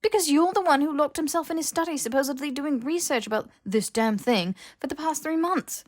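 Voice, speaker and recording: A woman voicing a brash, easily exasperated young man in an audiobook, casual, halting and sarcastic. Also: high voice, pitched above the performer's own voice, natural voice.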